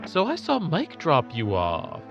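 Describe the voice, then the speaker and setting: A man's voice: fairly high pitch, wildly swooping pitch, unhurried, creaky, lo-fi sound, over music, full character voice. A man playing a smug, needling district attorney in an audio drama, with a theatrical, mock-casual manner. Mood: smug, prying.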